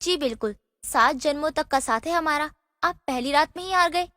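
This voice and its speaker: A coy, devoted young bride in a storybook romance acted by a woman. Her voice is very high and bright, with a long reverb. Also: normal speed, studio quality. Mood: coy affection.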